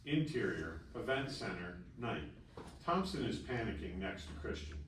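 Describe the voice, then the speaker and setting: A man narrates stage directions at a table read. His voice sits fairly low. The sound is reverberant and distant, with faint noise behind it. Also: very animated, moderate pace. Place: festival table read in a room. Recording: echoey room, distant mic, faint noise.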